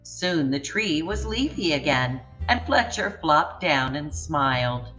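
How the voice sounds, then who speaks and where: Slow, bright-voiced woman reading a storybook. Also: low voice, soft background music, poor audio. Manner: slow, gentle, expressive. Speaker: children's book reader. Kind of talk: storybook read-aloud.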